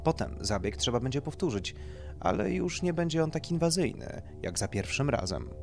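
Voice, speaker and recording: A man's voice: a little high, big pitch swings, normal speed, vocal fry, soft background music, pitched above the performer's own voice. A man voicing a polite, tactful male doctor who explains procedures clinically in an audiobook. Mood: salesman-like. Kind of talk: audiobook character dialogue.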